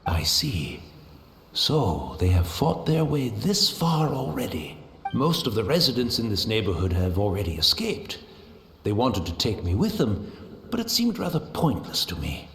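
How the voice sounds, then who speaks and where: A man's voice: fairly low pitch, sing-song, a little slow, vocal fry, echoey room, a little hiss or hum, exaggerated voice. A man voicing a serene, devoted tea master in a video game, with a courtly, deferential and reflective way of speaking. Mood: resigned, wistful.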